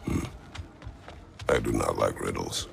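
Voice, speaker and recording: A man playing a stern, battle-worn warrior father in a video game, terse and formal, with a gravelly, rumbling voice. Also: unhurried, noisy background, full character voice. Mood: grave, curt. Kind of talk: video game cutscene acting.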